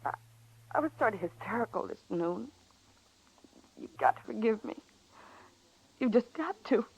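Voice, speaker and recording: A woman's voice: on the high side, expressive, unhurried, vocal fry, breathy edge, heavily acted, rough recording. A woman playing a desperate, pleading American frontier woman in a radio western, emotional in her delivery. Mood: distraught. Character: desperate, pleading saloon woman of the Old West. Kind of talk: 1950s radio western acting.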